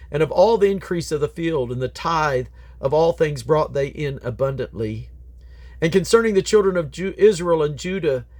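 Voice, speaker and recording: A man's voice: a little high, a little mellow. A man reading Bible scripture aloud in a solemn, declamatory manner, letting his phrases fall away at the end. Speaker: Bible reader.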